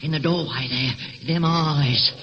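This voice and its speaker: An actor playing a nervous, superstitious Cockney sidekick, slangy and exclaiming, in an old-time radio drama. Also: male voice, on the high side, fairly even intonation, takes it slowly, big-room sound, slight background noise. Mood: frightened. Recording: dull vintage radio recording.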